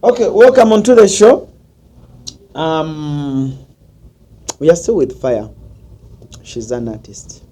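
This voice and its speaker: Animated Ugandan host, warm low voice. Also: male voice, slow pace, pauses often, a little hiss or hum. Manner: animated, jumps between English and Luganda. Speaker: talk show host. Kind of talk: casual interview hosting.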